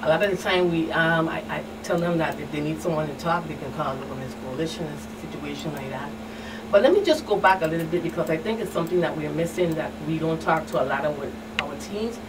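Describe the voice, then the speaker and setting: A woman's voice: deep voice, fast talker. A woman with a Caribbean accent answering as a talk show guest, animatedly retelling exchanges and repeating phrases. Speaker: guest expert, community outreach specialist. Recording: distant mic, clear background noise.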